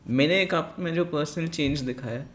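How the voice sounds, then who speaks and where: A man's voice: a little high, moderate pace. A man hosting a casual conversation, asking questions and murmuring agreement while his guest talks. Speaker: host. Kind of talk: interviewing.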